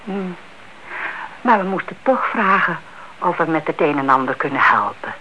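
Through a dull, noisy old radio-play recording comes a nosy older aunt. She is chatty and insistent, repeating herself as she pries. Her intonation is very lively. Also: low-pitched, normal speed, heavily acted. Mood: scheming, curious. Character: nosy, suspicious older aunt, a gossipy neighbour. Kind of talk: Dutch radio play acting.

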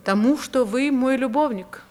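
A young upper-class wife in a radio drama. Her voice sits fairly high, with careful stage diction. She speaks with secretive resolve and strong feeling. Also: measured pace, a little mellow. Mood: tender, earnest. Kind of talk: theatrical Russian radio drama acting.

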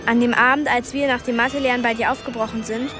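A woman's voice: on the high side, steady tone, fast talker, music in the background, close to an everyday voice. A woman playing an earnest, determined thirteen-year-old girl in a radio play, speaking in an urgent, direct way. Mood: pleading.

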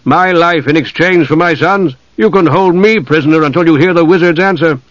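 A man's voice: on the high side, moderate pace, a bit bright, pitched above the performer's own voice. A man voicing a big, hot-tempered father in desperate grief, emotional and demanding, in a storybook reading. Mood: desperate anger. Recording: roomy, dull audiobook sound.

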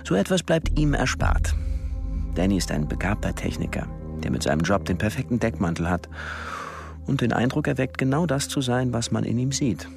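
An actor playing a reluctant, self-deprecating tech specialist for spies in a radio drama, with a gravelly voice. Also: male voice, medium pitch, very animated, moderate pace, over music. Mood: dry, descriptive. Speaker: narrator and character in radio play. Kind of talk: scripted radio drama narration and dialogue.

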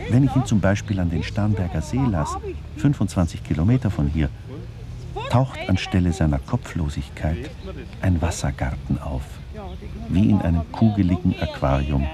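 An actor playing a wry, digressive narrator in a literary radio play, musing deliberately and addressing the listeners. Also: male voice, sits low, lively intonation. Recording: clearly noisy background.

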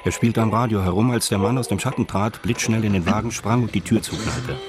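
A man's voice: on the low side, a little fast. A man reading scripted narration as the narrator of a radio crime drama.

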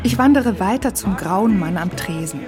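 A woman's voice: mid-range voice, lively intonation, moderate pace, over music. A woman narrating a radio feature in the first person as a fairy, in a wry, musing way. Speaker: narrator playing a wish-granting fairy.

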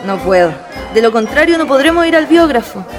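Woman acting prim, high, lively young woman. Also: brisk. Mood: impatient. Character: prim, teasing young woman friend, easily embarrassed. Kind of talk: radio play acting. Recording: music and crowd noise behind. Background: band music and crowd.

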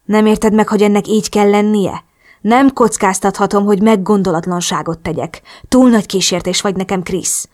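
Casting: passionate, protective storybook lover played by a woman reader, intense and brooding, medium pitch. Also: steady tone, a little mellow, close to an everyday voice. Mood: torn, pleading. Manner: intense, emotional.

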